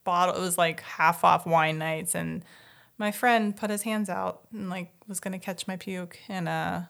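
A woman's voice: on the low side, fairly even intonation, gravelly, a bit bright. A woman co-hosting a casual podcast, chatting and laughing easily. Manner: giggly, quick to react. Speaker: podcast co-host. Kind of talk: casual chat.

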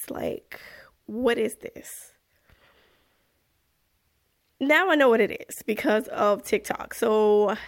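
A young woman hosts her podcast in an easygoing, chatty way and slips into animated little exclamations. Her voice sits a touch high with a gravelly fry, and she still sounds a little congested. She takes her time, talking slowly. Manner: chatty, animated, self-deprecating, exclaims "woo, child". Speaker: podcast host. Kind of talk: casual podcast chat and story reading.

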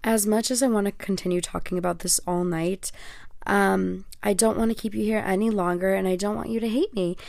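Young podcast host lady, first language greek, gravelly voice, dry close sound, rambly and chatty. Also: medium pitch, fairly even intonation, a little fast, a little mellow, dry recording. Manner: chatty, self-deprecating, lots of fillers. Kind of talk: casual solo podcast talk.

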